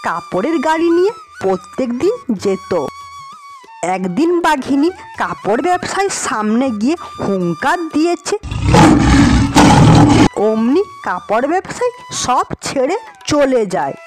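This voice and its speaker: Woman telling a cartoon fairy tale, very lively highish voice, music behind. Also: a little slow, pauses often. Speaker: storyteller.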